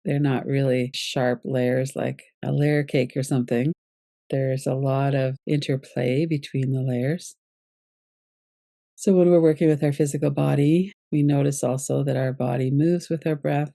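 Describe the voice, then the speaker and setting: A woman with a soft-edged, mellow voice and a noticeable creak guides a relaxation meditation. She gives each instruction calmly and gently, without hurry, as a yoga nidra teacher would. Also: a bass voice, no room sound, clean studio sound. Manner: calm, gentle, unhurried instruction.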